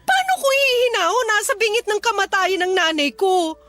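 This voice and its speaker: An actress playing a panicked, emotional young daughter who pleads anxiously in a radio drama. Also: female voice, extremely high pitch, lively intonation, normal speed, pushed and bright, full character voice. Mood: desperate pleading.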